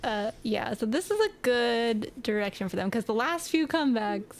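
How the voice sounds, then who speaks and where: A woman co-hosting a casual music review chat, giggling often and chiming in with quick agreement. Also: on the high side, expressive, over a noisy crowd. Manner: giggly, agreeable, short reactions. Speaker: co-host of music review show.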